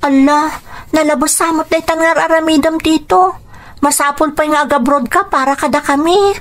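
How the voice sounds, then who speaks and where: Actress as earnest, grateful Ilocano teenage niece. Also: female voice, high voice, fairly even intonation, normal speed, close to an everyday voice. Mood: touched, wistful. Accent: Ilocano Filipino. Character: grateful, dutiful teenage niece finishing high school. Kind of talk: Ilocano radio drama acting.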